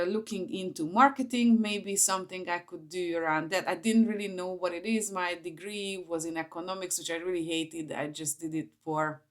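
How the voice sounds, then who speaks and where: A woman in her forties with a Hungarian accent, talking informally to camera as she shares personal stories. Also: lowish voice. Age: 40s. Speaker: vlogger, entrepreneur.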